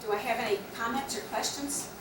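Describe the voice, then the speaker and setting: A woman at a club meeting talks through upcoming plans in a measured, practical way. Her voice sits a little high and comes from far off across an echoing room. There is a steady noise behind her. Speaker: guild officer presenting education plans. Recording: echoey room, distant mic, noisy.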